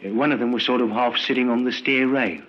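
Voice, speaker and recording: An actor playing a humble male porter, halting and deferential, in an old radio crime drama. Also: mid-range voice, close to an everyday voice. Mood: halting, helpful. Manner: halting, repeats words, deferential. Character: humble male building porter, unsure but helpful witness. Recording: muffled vintage radio recording.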